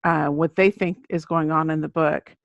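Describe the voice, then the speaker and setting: A woman's voice: low-pitched, steady tone, moderate pace, vocal fry, no echo. An American woman speaking as an author guest in a podcast interview, explaining things in an earnest, conversational way. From United States. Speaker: guest, children's book author. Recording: muffled, dull lo-fi audio.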